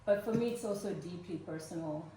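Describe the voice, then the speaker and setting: Woman, 40s, from Uganda, earnest personal talk, many fillers, low-ish voice, very echoey room. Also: fairly quick. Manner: earnest and warm, with frequent "um" fillers. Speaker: speaker at a fundraising event. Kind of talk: personal story told to a live audience. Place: event room with a live audience. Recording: roomy echo, voice from far off, faint noise.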